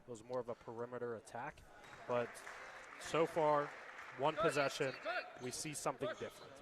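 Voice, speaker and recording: A man giving measured, analytical color commentary on a live radio basketball broadcast. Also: medium pitch, steady tone. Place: indoor basketball game. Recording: crowd noise at a basketball game. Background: crowd and bouncing ball.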